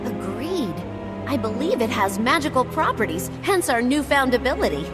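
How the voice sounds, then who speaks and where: A woman playing an eager, impatient researcher in a video game, brisk and full of curiosity. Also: a little high, music in the background. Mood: confident. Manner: brisk, excitable, inquisitive. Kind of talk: scripted video game dialogue.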